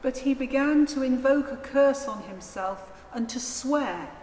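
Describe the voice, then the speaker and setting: Slow, expressive woman narrator in echo. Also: high-pitched. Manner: solemn, deliberate. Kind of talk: dramatised scripture narration. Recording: echoey church, long reverb, faint noise.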